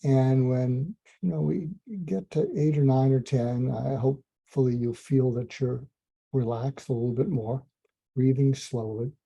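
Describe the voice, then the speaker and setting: Man, slow soothing hypnotic storytelling, gravelly, husky, lo-fi recording. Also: mid-range voice, steady tone, warm tone. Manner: slow, soothing, hypnotic delivery with many ums. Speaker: psychiatrist telling a clinical story. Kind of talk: calm storytelling monologue. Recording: dull lo-fi sound.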